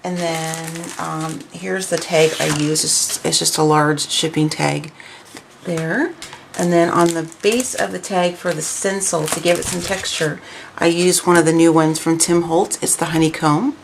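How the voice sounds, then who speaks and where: Raspy low-voiced woman, hoarse. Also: fairly even intonation, measured pace, background noise. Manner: chatty, many ums and restarts. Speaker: craft video host. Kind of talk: casual craft show-and-tell.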